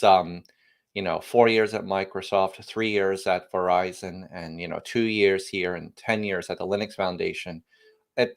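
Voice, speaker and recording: Very low male voice; tech-company founder in interview, loose and emphatic, many self-corrections. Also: vocal fry. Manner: rambling, frequent restarts, emphatic bursts. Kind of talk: podcast interview, casual technical talk.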